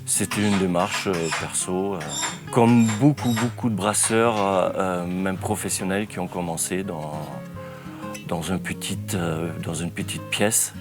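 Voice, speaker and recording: A man from Bavaria, a brewer speaking in an interview in a slow, halting way with many pauses. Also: deep voice, fairly even intonation. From Bavaria, Germany. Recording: music bed under the voice.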